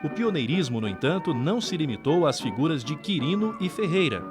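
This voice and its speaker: A man's voice: fairly high pitch, very animated, brisk, over music. A man with a Brazilian accent reading scripted documentary narration.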